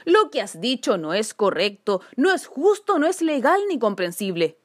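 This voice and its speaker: Woman putting on a stern, officious policeman, animated delivery. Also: fairly high pitch, normal speed, no room sound, clean studio sound. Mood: angry. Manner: officious, suspicious, scolding. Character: stern, pompous, bureaucratic adult male policeman. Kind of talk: children's storybook character voice.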